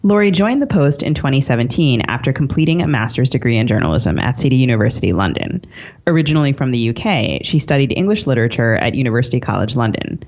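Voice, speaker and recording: A woman's voice: a bass voice, lively intonation, fast, a little mellow, muffled recording. An American woman co-hosting a podcast, introducing and questioning guests in a conversational style. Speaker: podcast co-host, interviewer.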